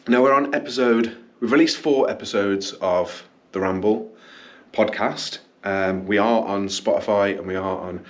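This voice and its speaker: A man's voice: lowish voice, unhurried. A man with a slight cough, hosting a casual vlog from a small indoor studio, chatty and upbeat with ums and self-corrections. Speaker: business owner and channel host.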